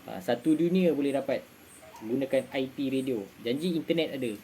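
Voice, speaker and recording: A man's voice: medium pitch, fairly quick, dark tone, a little hiss or hum. A man with a Malaysian accent giving a casual, informal explainer to viewers, often repeating his phrases for emphasis. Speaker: amateur radio vlogger giving advice.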